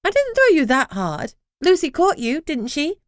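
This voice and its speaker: A woman's voice: high-pitched, wildly swooping pitch, normal speed, no echo, studio quality, pitched above the performer's own voice. A woman voicing a female sentient house who talks in a playful, pouting way. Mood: teasing. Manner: playful, pouty. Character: sassy female sentient house, proud of menace.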